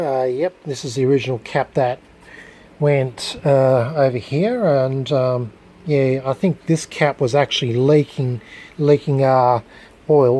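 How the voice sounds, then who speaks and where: A man gives a casual, unscripted hands-on demonstration as a hobbyist restorer, talking haltingly and searching for his words. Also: medium pitch, warm tone, slightly rough. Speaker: hobbyist electronics restorer presenting a video.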